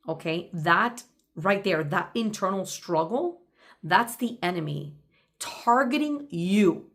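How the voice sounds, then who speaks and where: Woman giving an emphatic motivational talk. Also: lowish voice. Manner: emphatic, deliberate, speaks directly to the listener. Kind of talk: motivational talk with reading from own journal.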